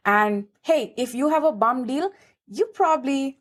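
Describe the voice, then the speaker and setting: A woman's voice: high voice, unhurried. A woman with an Indian accent, a lawyer and advisor, giving earnest, emphatic answers as a podcast guest. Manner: earnest, emphatic, lively explaining. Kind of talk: podcast interview.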